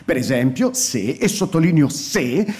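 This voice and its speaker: A weary old scholar. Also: male voice, expressive, a little mellow. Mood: wary. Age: older. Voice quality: measured, turns shrill in alarm. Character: weary old male scholar, bitter and frightened. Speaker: actor in a radio play. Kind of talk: radio drama acting.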